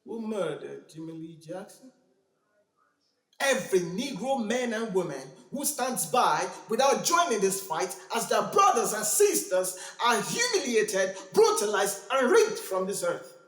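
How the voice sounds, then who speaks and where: An actor playing a civil rights preacher giving a grieving, impassioned eulogy in a dramatic, rhetorical film-monologue style. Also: male voice, high voice, big pitch swings, measured pace, reverberant, soft background music, heavily acted. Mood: grave, accusing, then indignant. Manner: rhetorical, building from hushed solemnity to fiery cries.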